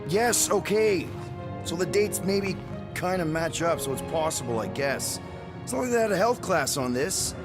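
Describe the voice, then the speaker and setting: An actor playing an earnest, flustered young outlaw leader in a video game, casual and wry. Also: male voice, a little high, animated, normal speed, natural voice. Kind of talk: video game cutscene acting. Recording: long reverb, music behind.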